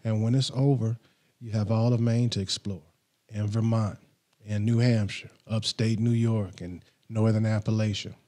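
A middle-aged man with a mellow, darker voice reads a countdown list in a steady, scripted manner. He hosts a podcast and sits close to a studio microphone in a small home studio. His pitch sits in a typical middle range for a man. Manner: steady, read-from-script delivery. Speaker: podcast host. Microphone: studio mic on boom arm, headphones on.

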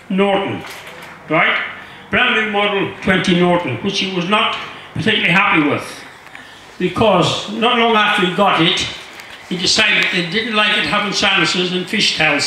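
A jovial man in his sixties reading aloud haltingly to an audience, with humorous asides. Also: high-pitched, bright, forceful tone, slight background noise. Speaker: guest reader. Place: large marquee tent with seated audience. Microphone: handheld mic through speakers, filmed from audience. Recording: very echoey PA in tent.

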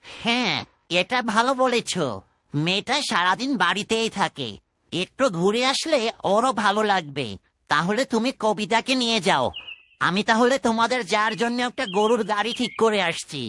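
A man's voice: sits high, expressive, normal speed, sharp tone. A man voicing a chatty, reassuring village fellow in a fairytale cartoon, with an easygoing manner. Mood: agreeable. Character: folksy, chatty village man, husband and cart driver. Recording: noisy background.

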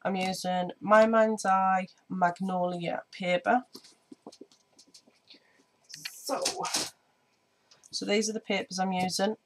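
A woman with a slightly croaky voice, chatting casually through a scrapbooking tutorial with lots of ums while she works at a craft table. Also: mid-range voice, steady tone, takes it slowly, pauses often, slight background noise. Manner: chatty, many ums, hands busy while talking. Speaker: scrapbooking vlogger. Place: indoor home craft table. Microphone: overhead camera on desk, speaker off screen.

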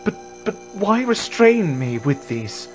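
An actor playing a questioning, defiant captive worker in a dystopian audio drama, wary and inquisitive. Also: male voice, sits high, sing-song, slow, faint music behind, full character voice. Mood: bewildered.

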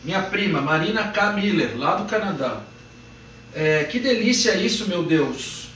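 A man's voice: high voice, expressive, fairly bright tone, recorded from a distance. A man producing a live cooking show, reading out viewer messages to the host. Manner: relays viewer messages, brisk. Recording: echoey room, off-mic, audible noise.

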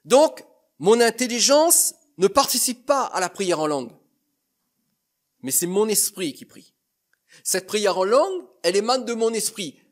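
High-voiced earnest male preacher. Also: lively intonation, measured pace. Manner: earnest, emphatic; addresses listeners directly. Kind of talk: sermon teaching over slides.

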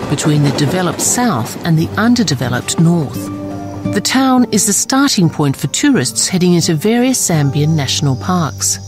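A woman reading scripted documentary narration in a measured, articulate way. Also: fairly low pitch, sing-song. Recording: studio voice-over with music.

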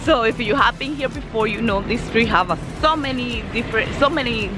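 A woman's voice: fairly high pitch, brisk, low-quality recording. A woman, chatting and correcting herself as she films a walking tour on a busy street, phone held at arm's length. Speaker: travel vlogger. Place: busy street outdoors beside traffic. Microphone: phone camera at arm's length. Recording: loud traffic noise and music behind. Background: passing cars and music.

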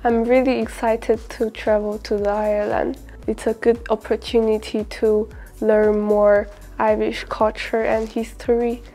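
A woman's voice: a little high, flat delivery, unhurried, warm tone, soft background music. Careful-spoken teenage girl from China. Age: teens. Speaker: student. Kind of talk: prepared self-introduction. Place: studio set with flags. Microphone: lapel mic.

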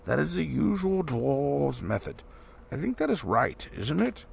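A man voicing a wise, assured old male wizard who explains things in a measured way in a storybook reading. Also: on the high side, very animated, gravelly, darkish tone, exaggerated voice. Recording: faint background noise.

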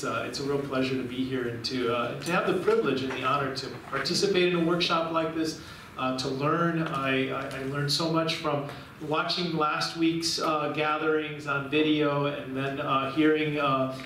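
A middle-aged man from Cuba giving a public lecture, talking warmly with frequent restarts, recorded from far away in a large room. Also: medium pitch, a little hiss or hum. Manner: warm, rambling with frequent restarts. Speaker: guest lecturer. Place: large room with projection screen, indoors. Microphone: far camera. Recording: very echoey room, recorded from afar.

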